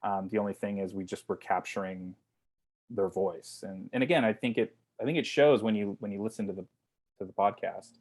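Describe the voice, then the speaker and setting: A man's voice: on the low side, fairly even intonation, brisk, a little mellow. A man speaking casually as a writer-director in a video call Q&A, rambling informally and often repeating his words. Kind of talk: casual Q&A discussion.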